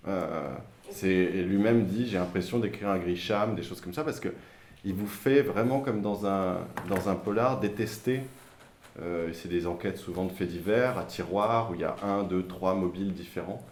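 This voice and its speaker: A man speaking on a round-table panel, hesitant and halting with many fillers and restarts. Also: low-pitched, a little mellow, slight background noise. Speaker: author on a panel. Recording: echoey room, faint ambience.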